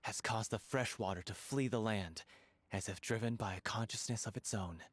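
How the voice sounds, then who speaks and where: An actor playing an excitable, theatrical young playwright in a video game. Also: male voice, on the low side, normal speed, no room sound, clean studio sound, natural voice. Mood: storytelling.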